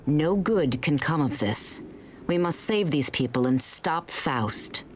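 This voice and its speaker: An actress playing a noble, stern warrior heroine, giving formal, grave mission briefings in a superhero game. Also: female voice, low-pitched, expressive, slow pace, noisy background. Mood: stern, urgent.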